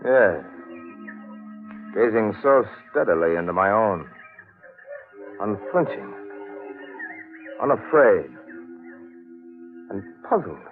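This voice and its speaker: An actor playing a feverish, brooding gorilla hunter with a grave, dramatic delivery in a 1940s radio mystery. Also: male voice, on the low side, sing-song, takes it slowly, pauses often, soft background music, full character voice. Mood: brooding, awed. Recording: tinny vintage radio recording.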